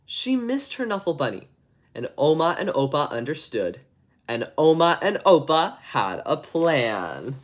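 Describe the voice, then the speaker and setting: A man reads a children's bedtime story aloud. His pitch moves around a great deal as he narrates expressively, and he slips into casual, chatty asides around the text. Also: very animated, unhurried. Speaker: storybook reader.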